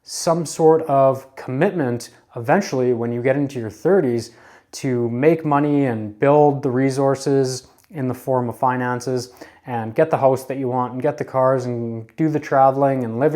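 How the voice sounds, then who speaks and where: A man's voice: mid-range voice, fairly even intonation, moderate pace, warm tone. A man in his late thirties talking casually to camera, giving earnest, repetitive life advice about careers. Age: 30s. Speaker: advice vlogger.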